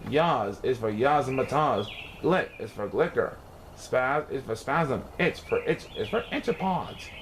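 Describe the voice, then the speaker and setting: A man reading a rhyming children's story aloud in an expressive, playful, sing-song way. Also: mid-range voice, measured pace, slight background noise. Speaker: picture book reader.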